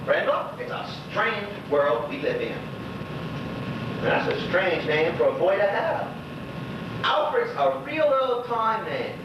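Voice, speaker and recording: Man playing a high-voiced, rambling old Bermudian widower. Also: sing-song, slow, exaggerated voice. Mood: chatty, reminiscing. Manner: rambling, conversational, muttered asides to himself and others. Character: lonely old Bermudian widower, chatty and nostalgic. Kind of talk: stage monologue in dialect. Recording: muffled, echoey distant stage recording with noise.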